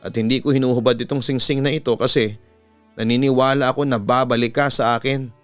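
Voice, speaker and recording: A man's voice: mid-range voice, fairly even intonation, fast talker, fairly bright tone, smooth, dry recording, lightly acted. A man with a Filipino accent voicing an emotional young woman, a sweetheart in a dramatized love story. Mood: moved, loving. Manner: emotional, emphatic. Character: hurt, loving young woman, former sweetheart. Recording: music bed under the voice.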